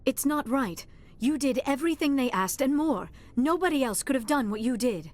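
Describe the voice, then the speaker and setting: A woman playing an earnest, devoted ally in a science fiction video game, sincere and gentle. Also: high-pitched, animated, moderate pace. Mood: indignant, earnest. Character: earnest, devoted young female ally of the commander. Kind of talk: cinematic game voice acting.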